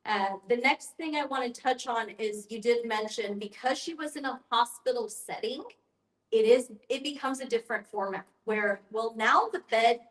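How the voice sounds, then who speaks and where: A woman's voice: a little high, moderate pace, a bit bright. A woman explaining things step by step as an educator leading a group discussion, in an instructive way. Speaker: end-of-life educator and guest facilitator. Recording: roomy, echoing sound.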